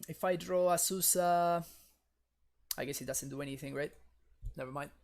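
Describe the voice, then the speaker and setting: A man's voice: on the high side, moderate pace. A young man, a native Spanish speaker with a Spanish accent, chatting casually with viewers while streaming a card game, often laughing. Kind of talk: casual live-stream commentary.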